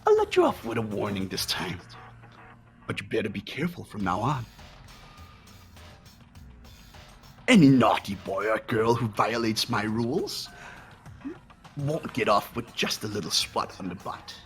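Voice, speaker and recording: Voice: mid-range voice, big pitch swings, normal speed, faint music behind, natural voice. A performer voicing a gleefully sadistic robot teddy-bear headmaster in a fan game dub, theatrical and mock-polite. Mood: gleeful menace. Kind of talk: fan voice acting of a video game.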